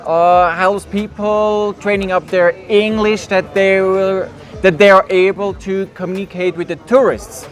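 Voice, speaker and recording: High-voiced man hosting a travel interview. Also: level tone, unhurried. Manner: friendly, asks simple questions with restarts. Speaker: travel vlog host interviewing a guide. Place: outdoors on stairs in a city neighborhood.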